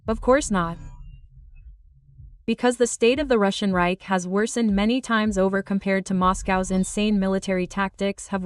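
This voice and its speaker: A woman's voice: medium pitch, no room sound, recorded from a distance. Lady with a middling voice, sounds a bit off the mic.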